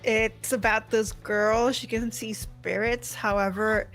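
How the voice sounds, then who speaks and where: A woman with a fairly high voice co-hosts a casual chat. She is informal and giggly, over faint music. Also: little pitch movement, slow, fairly bright tone, low-quality recording. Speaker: co-host.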